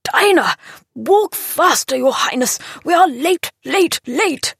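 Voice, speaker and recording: A woman's voice: high-pitched, animated, takes it slowly, gravelly, a bit bright, no echo, studio quality, pitched above the performer's own voice, full character voice. A woman voicing a fussy, portly old male guardian in an audiobook, fretful and pompous. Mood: flustered. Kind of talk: audiobook character voice.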